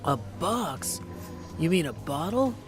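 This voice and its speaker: A man's voice: on the high side, very animated, slow pace. A man voicing a poised, earnest young witch goddess from a video game, speaking in a formal, composed way. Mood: dry, curious. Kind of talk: video game character dialogue. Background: music.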